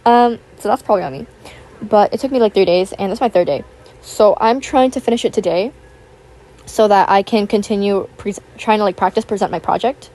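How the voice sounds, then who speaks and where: Young woman, raspy just-woke-up voice, mellow tone, rambling filler-heavy chat, tablet mic. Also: medium pitch, brisk, poor audio. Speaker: YouTuber. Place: home, with household noise. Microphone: built-in tablet microphone.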